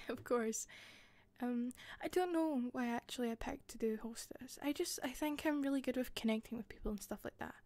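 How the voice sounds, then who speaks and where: Soft, giggly Scottish woman hostess. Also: fairly high pitch, normal speed, no room sound. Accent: Scottish, softened. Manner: soft, giggly, attentive, drops into whispers. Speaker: hostess in a roleplay. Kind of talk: listener-directed roleplay.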